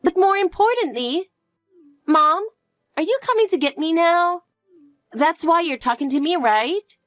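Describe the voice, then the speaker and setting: A woman's voice: very high-pitched, animated, measured pace, no room sound, soft background music, voice pushed up. A woman voicing a frightened little girl who clings to her mother with pleading questions. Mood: eager, pleading. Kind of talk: dramatic dialogue.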